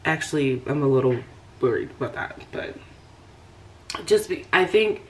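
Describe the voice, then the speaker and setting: A young woman talks casually to a handheld camera in her home, rambling along with plenty of fillers. Her voice sits a little low, and a faint hum of room ambience lies underneath. Some days she sounds slightly stuffed up. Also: animated, normal speed. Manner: chatty and rambling, with many fillers. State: slightly nasal, a bit congested. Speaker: vlogger. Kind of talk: casual vlog chat to camera. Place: living room and kitchen at home. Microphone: handheld camera at arm's length.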